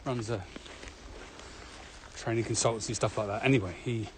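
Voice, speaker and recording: A man's voice: mid-range voice, fairly even intonation, moderate pace. A man co-hosting a podcast, chatting casually and telling anecdotes while out on a walk. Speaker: podcast co-host and trainer. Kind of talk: casual walking podcast chat. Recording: noisy walking recording with ambient sound.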